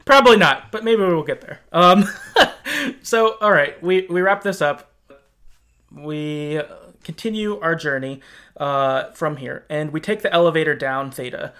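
A man's voice: a little high, measured pace, pushed and bright. A man hosting a casual podcast, talking through a game and retelling it in an informal, conversational way. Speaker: podcast host. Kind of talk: casual game discussion and plot recap.

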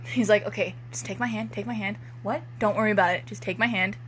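A woman's voice: medium pitch, steady tone, fast, a bit bright, slight background noise, rough recording. A young woman reading amateur fiction aloud in a mock-dramatic way, with giggly, informal joking banter.

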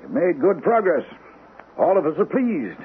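An actor playing a reassuring, encouraging male doctor at a sanitarium in a radio drama, chatty and full of gentle questions. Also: a little high, huge pitch swings, normal speed. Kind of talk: old-time radio drama acting. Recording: thin, tinny old radio broadcast, faint hiss.

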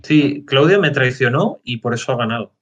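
A man's voice: mid-range voice, animated, normal speed, lo-fi sound. A man with an accent from Spain, bantering casually as a co-host while playing along on a game stream. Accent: Spanish from Spain.

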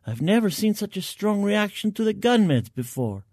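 Man voicing a high, lilting concerned female medic. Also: big pitch swings, normal speed, a little mellow, dry recording, studio quality. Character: concerned female military medic, gently probing.

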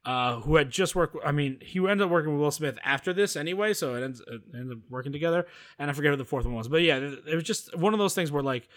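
Higher-voiced man in casual movie chat. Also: animated, quick pace, fairly bright tone. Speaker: podcast co-host. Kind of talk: casual film discussion.

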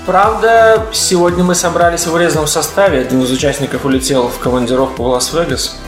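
A man's voice: a little high, steady tone, quick pace. A man from Latvia with a light Latvian accent, hosting a casual comedy podcast in an ironic, joking manner. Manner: ironic, joking, mock-pompous. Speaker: podcast host. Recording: echoey room with music bed.

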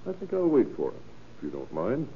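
An actor playing a calm, insistent police detective in an old radio crime drama, coolly polite and probing. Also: male voice, medium pitch, a little fast. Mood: calm, pointed. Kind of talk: 1930s-40s radio crime drama acting. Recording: old tinny radio recording with noise.

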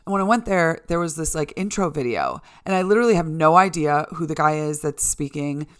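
A middle-aged American woman with a low-pitched voice hosts her own podcast alone. She speaks in a candid, informal monologue straight to the listener, loose and conversational, with the odd swear word. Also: lively intonation, fairly quick, smooth. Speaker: solo podcast host.

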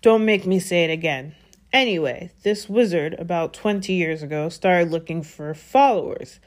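Woman voicing a gruff, folksy giant storybook man. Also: lowish voice, moderate pace, natural voice. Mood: grave, uneasy. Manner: folksy, dropped-consonant dialect speech. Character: gruff, kindly giant man, blunt and anxious. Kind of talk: storybook character voice.

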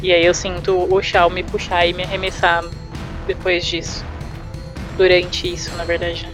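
A woman's voice: lowish voice, completely flat delivery, normal speed. A woman with a Brazilian accent, a tabletop game player casually describing what her character sees and feels. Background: background music.

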